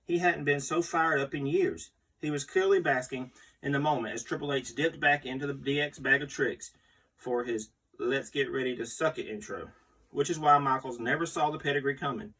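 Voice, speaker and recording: Husky man reading aloud from off mic. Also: medium pitch, moderate pace, pushed and bright. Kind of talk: scripted magazine read-aloud. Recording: distant microphone.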